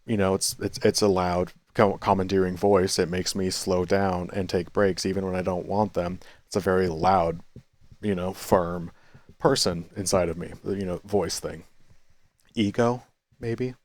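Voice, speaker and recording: A man with a deep voice muses aloud in a casual vlog, circling back and correcting himself as he goes. The sound is dry and close, with little room around it. His pace stays loose and conversational. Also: fairly even intonation, unhurried, darkish tone, no echo. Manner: thinking aloud, many restarts and self-corrections; quits smoking, chews sunflower seeds. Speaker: vlogger. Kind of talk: rambling reflective monologue. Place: cafe.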